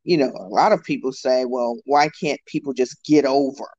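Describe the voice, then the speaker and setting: A man's voice: medium pitch, normal speed, a little mellow. A man talking in a thoughtful, hesitant way in a casual conversation, laughing easily. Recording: slightly muffled lo-fi sound.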